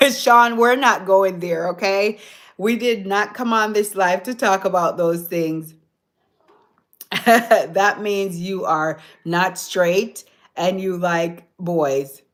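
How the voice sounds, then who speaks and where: A woman's voice: medium pitch, slow. A Jamaican woman hosting a casual livestream, chatting with viewers, answering their comments and laughing often. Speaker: livestream host. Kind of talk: casual live-stream chat with viewers.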